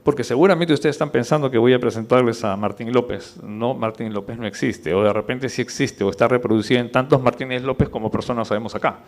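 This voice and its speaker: A man lecturing in public, didactic and rhetorical, with deliberate pauses, emphasis and questions to check that listeners follow. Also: mid-range voice. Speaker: conference speaker.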